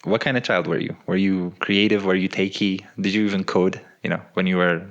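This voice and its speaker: Really low voiced guy hosting a podcast interview, lots of fry in his voice. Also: fairly even intonation, brisk, highs cut off. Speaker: podcast host.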